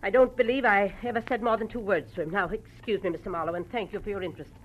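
An actress playing a wealthy, refined society widow in an old-time radio drama, formal and evasive. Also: female voice, medium pitch, fairly even intonation, quick pace, natural voice. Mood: evasive, dismissive. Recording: muffled, faintly noisy vintage radio recording.

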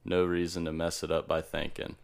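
Young male podcast co-host, low smooth voice, musing and rambling. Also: flat delivery, brisk. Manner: thoughtful, rambling explanations. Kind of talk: casual chat.

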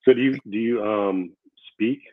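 A man hosting a casual interview show, talking in a relaxed, rambling way with many fillers; Korean was his first language. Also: fairly low pitch, measured pace, no room sound. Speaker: podcast host. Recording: tinny phone-like line.

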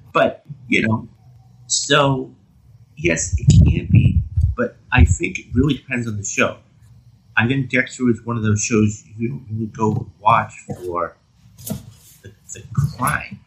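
A man co-hosting a podcast in casual discussion, talking haltingly and rephrasing his thoughts as he goes. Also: mid-range voice. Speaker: podcast co-host. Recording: clearly noisy background with clicks.

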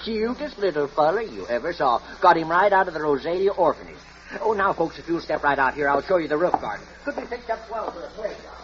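A man's voice: fairly high pitch, sing-song, quick pace. A man playing a jovial, chatty middle-aged house agent in a 1940s American radio drama, with a folksy, genial manner. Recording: noisy muffled old radio recording.

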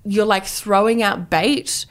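A woman in her mid-twenties with an Australian accent co-hosts a casual podcast. Her voice sits at an average pitch for a woman. She talks in a jokey, self-deprecating manner. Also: fairly even intonation, slow pace. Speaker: podcast co-host. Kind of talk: casual chat.

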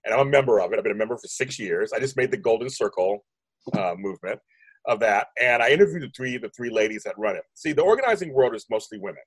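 A man in his fifties with a deep voice, speaking as a guest in an interview, rambling and emphatic, often restarting his sentences. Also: lively intonation, fast talker, slightly rough, no room sound, low-quality recording. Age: 50s. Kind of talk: animated conversational interview.

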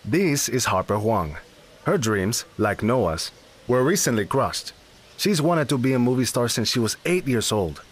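A man's voice: medium pitch, very animated, unhurried. A man narrating a comedy audio drama in a dry, matter-of-fact way. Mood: wry.

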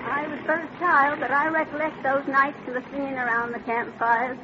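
Pioneer woman looking back on girlhood played by an actress, plain wistful storytelling, high pitch, muffled old radio recording. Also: flat delivery, normal speed, natural voice. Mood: wistful, reminiscing. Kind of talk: old-time radio drama narration. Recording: dull vintage radio recording, faint background noise.

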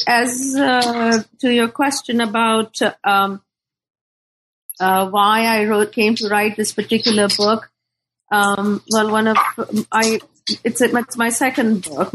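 A middle-aged woman from Pakistan speaking as a scholar in an interview, thoughtful and deliberate, often pausing to search for words. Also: mid-range voice, fairly bright tone, slight background noise, poor audio. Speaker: scholar and author being interviewed. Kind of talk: thoughtful academic interview talk.

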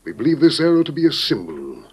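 An actor playing a grave, dignified president with solemn, weighty oratory in an old-time radio drama. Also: male voice, medium pitch, huge pitch swings, fast talker, darkish tone, slightly rough, heavily acted. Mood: grave, commanding. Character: grave, dignified American president briefing a secret agent.

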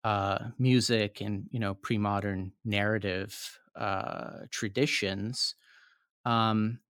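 Hesitant low-voiced academic man. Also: fairly even intonation, slow pace, fairly bright tone, smooth, dry recording, clean studio sound. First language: not Japanese; learned Japanese as foreign language. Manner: hesitant, many fillers and restarts. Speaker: author guest. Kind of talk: academic interview answer.

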